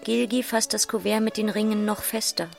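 Radio play chorus: actress as bleak female narrator, hushed and gravelly, faint music behind. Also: steady tone, brisk, lightly acted. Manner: low-volume, near-whispered fragments. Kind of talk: literary radio drama narration.

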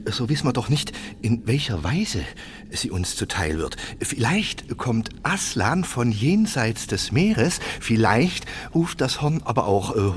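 A man's voice: mid-range voice, slight background noise. An actor playing a learned old scholar, cautious and hesitant as he advises a prince in a radio play. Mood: worried, cautious. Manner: hesitant, deliberate, explanatory.

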